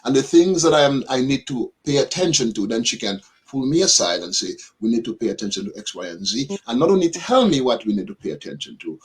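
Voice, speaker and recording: A man speaking as a guest on a radio panel, laying out his points in a measured, methodical order. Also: medium pitch. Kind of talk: panel discussion on a live radio show.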